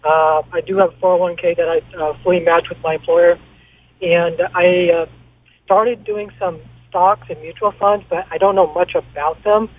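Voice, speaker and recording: A man's voice: high-pitched, steady tone, normal speed, no echo. Hesitant young man on a tinny phone line. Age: 30s. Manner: hesitant, many fillers. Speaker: caller. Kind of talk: phone-in question. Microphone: telephone.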